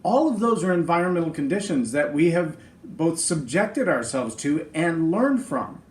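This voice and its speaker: A man's voice: high voice, reverberant. A middle-aged man with a big voice hosting a podcast, giving an earnest, emphatic motivational talk on leadership. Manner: earnest, emphatic, speaks in lists. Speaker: podcast host.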